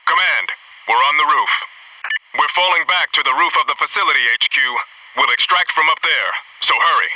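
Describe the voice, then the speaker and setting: An actor voicing an alert, disciplined Navy SEAL teammate in a military shooter game, reporting in a clipped, businesslike way. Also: male voice, mid-range voice, pushed and bright, distant mic, a little hiss or hum, rough recording.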